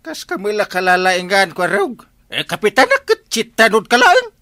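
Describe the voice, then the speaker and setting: A man's voice: high-pitched, wildly swooping pitch, moderate pace, sharp tone, full character voice. A man with an Ilocano accent playing a lazy, stubborn village councilman in comedic radio drama, whining and drawling out his retorts. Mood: stubborn, cocky.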